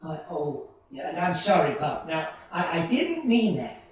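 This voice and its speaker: A man's voice: a little high, very animated, unhurried, distant mic. A man playing an eager, restless young man in a radio play, earnest and folksy, in an echoey hall. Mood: apologetic, pained. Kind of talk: 1940s radio play acting on stage.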